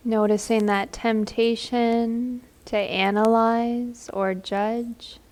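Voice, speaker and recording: A woman leading a guided meditation in a gentle, soothing, unhurried manner. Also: a little high, flat delivery.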